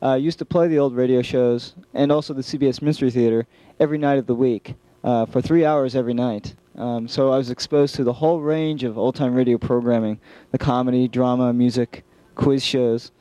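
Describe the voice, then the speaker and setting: A middle-aged American man reminiscing in an unscripted interview, with an earnest, halting way of talking. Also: mid-range voice, steady tone, warm tone, dry recording, low-quality recording. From San Francisco Bay Area, United States. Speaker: program chairman of an old-time radio club.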